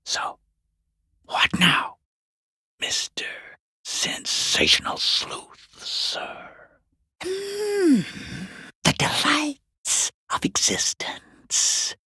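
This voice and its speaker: Casting: sinister, taunting watcher in a video game, breathy raspy whisper, slow sarcastic delivery, close dry sound. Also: male voice, high-pitched, pushed and bright, no echo, exaggerated voice. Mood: sardonic. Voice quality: hoarse, breathy whispering rasp. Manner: taunting, sarcastic, drawn-out theatrical phrasing. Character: mocking, sinister unseen male watcher sneering from the shadows. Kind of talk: video game character voice lines.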